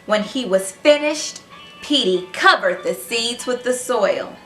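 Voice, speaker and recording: A woman hosting a children's read-aloud, narrating in an animated, expressive storybook style and speaking directly to young listeners. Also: fairly high pitch, takes it slowly, roomy sound, soft background music.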